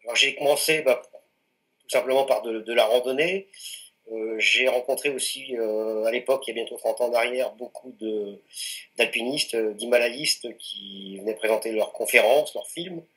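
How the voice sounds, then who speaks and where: French guy in his late 40s, chatty mountaineer, lots of ums and restarts, average pitch. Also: normal speed, fairly bright tone, poor audio. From France, Anjou region. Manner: hesitant, many fillers and restarts. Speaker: mountaineer guest. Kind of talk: casual interview answers.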